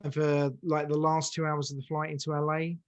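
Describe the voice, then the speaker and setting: A man's voice: a little high, monotone, a little fast, dry recording. A man telling a casual, conversational anecdote as an interview guest. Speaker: interview guest, audio drama team member.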